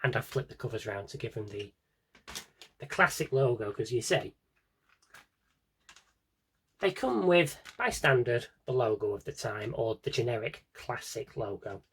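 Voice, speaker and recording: A man's voice: mid-range voice, animated, unhurried, long pauses, breathy edge. A British man chatting casually and unscripted as he shows off a collection, rambling, correcting himself and throwing in dry, offhand humour. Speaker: video blogger showing an audio drama CD collection.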